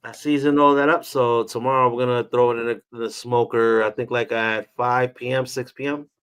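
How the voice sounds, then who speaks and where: A man's voice: medium pitch, little pitch movement, moderate pace, a bit bright, smooth. A man hosting a live watch party stream, chatting casually in slang, calling people bro and laughing often. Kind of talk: casual chat.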